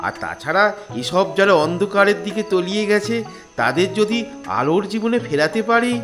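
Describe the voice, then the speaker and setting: A man voicing a kindly, naive retired husband in a comic cartoon, a pompous do-gooder who gushes and gets excitable. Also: high-pitched, moderate pace, soft background music. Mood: earnest, preachy.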